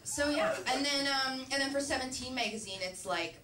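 Young woman doing stand-up in a club, high lively voice, far from the mic, echoey room, crowd laughing loud. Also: normal speed, heavy background noise. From Canada. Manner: animated, swings between low asides and shrieking punchlines. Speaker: stand-up comedian. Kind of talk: stand-up comedy set. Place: comedy club stage, large dark room. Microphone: handheld mic on a stand cord, filmed from far. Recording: roomy, distant club recording with crowd laughter. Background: audience laughter.

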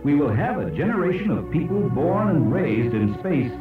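A man reading scripted educational narration in an emphatic, oratorical way with dramatic pauses. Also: on the high side, big pitch swings, distant mic. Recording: echoey, dull old cassette played aloud, music. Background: music.

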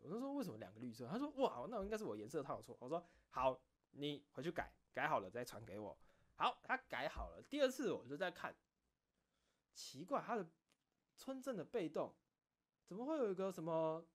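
A man with a Taiwanese accent chatting casually as an online creator, telling anecdotes animatedly, acting out both sides of exchanges and venting self-critically. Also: a little high. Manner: animated storytelling, acting out back-and-forth exchanges. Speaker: video creator and streamer.

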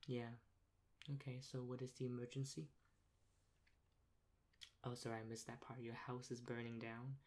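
A young man in a soft-spoken ASMR role play as a rude emergency dispatcher, talking in a deadpan, sarcastic and dismissive way. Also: mid-range voice, normal speed, pauses often. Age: young adult. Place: small plain room indoors. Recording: clear background noise, keyboard clicks.

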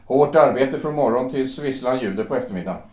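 A man's voice: medium pitch, darkish tone, roomy sound, natural voice. An actor playing an anxious, deferential male shipyard foreman. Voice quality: deferential, hesitant. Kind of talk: audio drama acting.

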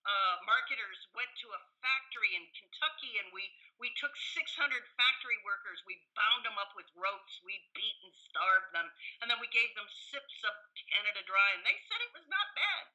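Older female professor for interview storytelling: very bright, sharp tone, medium pitch, conversational with hesitations. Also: normal speed, few pauses, rough recording. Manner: animated quoting, hesitant searching for words. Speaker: guest, professor and author.

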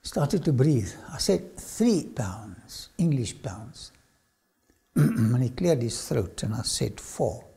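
An older man with an Afrikaans accent, a lecturer telling his own story to camera in a studio, swinging from loud phrases to whispers. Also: mid-range voice, sing-song, takes it slowly, creaky, slightly rough. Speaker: archaeology lecturer. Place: studio with dark backdrop.

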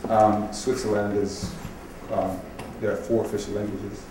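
A man from Bosnia, a native Bosnian speaker, moderating a panel discussion in halting, reflective phrases. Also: lowish voice, level tone. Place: public event room, recorded at a distance. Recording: distant echoey hall, clearly noisy.

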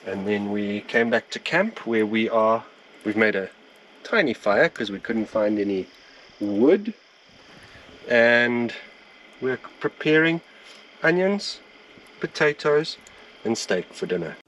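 A middle-aged man and wildlife filmmaker casually recapping his evening at an outdoor bush campsite at night, talking in a relaxed, chatty way with easy pauses and fillers. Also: on the low side, slight background noise, low-quality recording.

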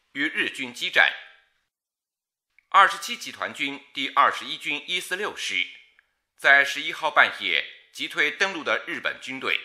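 An actor playing a solemn, urgent 1930s wartime radio announcer, reading a bulletin in a formal, declamatory way. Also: male voice, a little high, sharp tone, full character voice.

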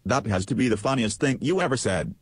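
A man's voice: medium pitch, animated, a little fast, husky, no echo. A man playing a contrary, evasive chatbot in a reenacted interview, blunt and matter-of-fact. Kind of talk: reenacted text-chat interview.

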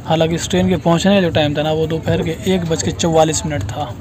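A man's voice: a little high, steady tone, fast talker. Man, 30s, chatty travel vlogger, selfie-phone sound, heavy crowd noise around him. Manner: chatty running commentary, often adds "ना" and "देखिए". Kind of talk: casual travel vlog commentary. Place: on board a train, then on a busy station platform. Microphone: phone held at arm's length, selfie framing. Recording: loud crowd and train noise. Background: loud station crowd and train noise.

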